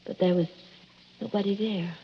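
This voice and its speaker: An actress playing a small, frightened, hysterical married woman in a 1940s radio drama, speaking in breathless, halting fragments. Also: mid-range voice, fairly even intonation, husky. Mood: haunted, agitated. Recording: dull lo-fi vintage radio recording.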